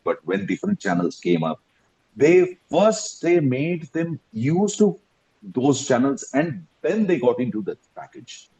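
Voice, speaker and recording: A man's voice: medium pitch, dark tone, breathy edge. A man in his fifties with an Indian English accent, a guest actor explaining his views over a video call, restarting phrases and rising with emphasis. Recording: dull lo-fi online call audio.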